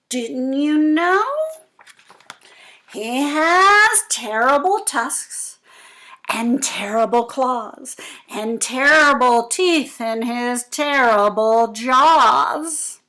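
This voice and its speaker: A clever little storybook mouse, as a woman reads her speaks in a raised, lifted voice, each line bold and drawn out. The delivery is slow and emphatic, like a tale read to children. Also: high voice, lively intonation, pushed and bright, exaggerated voice. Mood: boastful. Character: small clever brave mouse.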